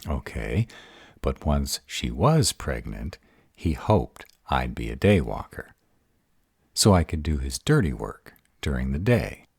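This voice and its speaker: Man playing a very deep, husky sarcastic young vampire. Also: very animated, takes it slowly, no room sound, studio quality, full character voice. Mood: suspicious, sardonic. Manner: sarcastic, drawling. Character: sarcastic, insecure young male vampire, wounded by rejection. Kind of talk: audio roleplay acting.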